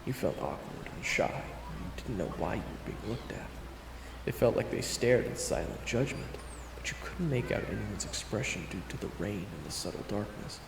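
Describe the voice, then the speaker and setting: A man's voice: fairly low pitch, expressive, normal speed, vocal fry, background noise. A man narrating a scripted story in the second person, in a reverberant recording. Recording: reverberant, echoey voice over music.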